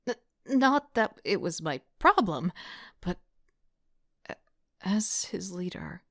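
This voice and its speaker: Audio drama narrator, female actor: young leader, dry-witted, unhurried, lowish soft breathy delivery, close dry sound. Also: very animated, no room sound, full character voice. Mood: introspective.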